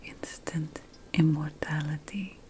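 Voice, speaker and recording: Woman whispering very slowly, ASMR roleplay. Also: low-pitched, steady tone, dark tone, poor audio. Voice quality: breathy, mostly unvoiced whisper. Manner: slow, deliberate, pausing between phrases. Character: playful, self-important ancient witch potion master. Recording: faint background noise.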